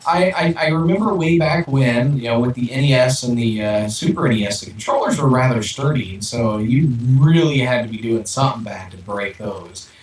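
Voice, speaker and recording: A man's voice: mid-range voice, a little fast, few pauses. Echoey, distant-sounding man co-hosting a podcast. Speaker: podcast co-host. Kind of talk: casual chat. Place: echoey room on online call. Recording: echoey, distant, noisy remote audio.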